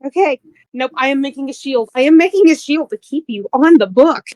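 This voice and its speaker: A woman's voice: high voice, expressive, fairly quick, a little mellow, dry recording. A woman talking casually and informally, with some swearing, as a player in a tabletop game.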